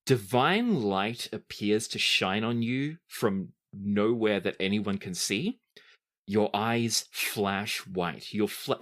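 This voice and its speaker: A game master giving measured, vivid scene descriptions mixed with casual rulings for a tabletop game. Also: female voice, extremely low pitch, a bit bright.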